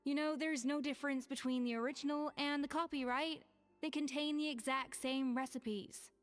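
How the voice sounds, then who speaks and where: Woman playing a cool sure-of-herself chef in a game, kinda high voice, dry and composed, soft music behind. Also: fairly even intonation, normal speed, close to an everyday voice. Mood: puzzled, exasperated. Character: cool, self-assured young female master chef, mildly exasperated. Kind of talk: video game dialogue acting.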